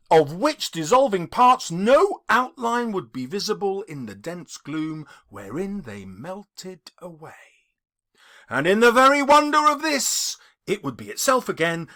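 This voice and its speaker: A man's voice: high-pitched, pushed and bright. A man reading a literary story as dramatic narration, theatrical and emphatic in delivery. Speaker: audiobook reader, teacher.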